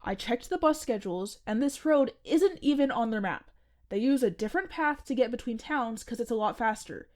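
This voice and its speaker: A woman's voice: on the high side, expressive, normal speed, a little mellow, lightly acted. A woman playing a chatty, earnest seventeen-year-old boy who hosts a podcast, casual and insistent, in an audio drama. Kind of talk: audio fiction podcast acting, conversational.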